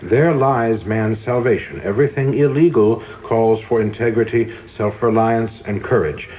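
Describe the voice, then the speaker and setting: An actor playing a coldly zealous young government official in a radio play, speaking formally and insistently with polite deference that cuts. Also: male voice, lowish voice, steady tone, measured pace, a little mellow, lightly acted. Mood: coldly argumentative. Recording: clearly noisy background ambience.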